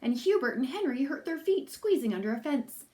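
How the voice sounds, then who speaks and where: A woman's voice: high voice, very animated, brisk, warm tone, echoey room. A woman voicing a worried mother rabbit in a storybook, speaking anxiously. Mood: fretting. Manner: anxious, fretting.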